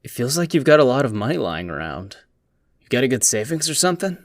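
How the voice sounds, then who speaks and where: A man's voice: medium pitch, very animated, fast, dry recording, clean studio sound. A man playing a sardonic, prying teenage boy in an anime dub, teasing and nonchalant. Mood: sarcastic. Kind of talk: anime fan dub acting.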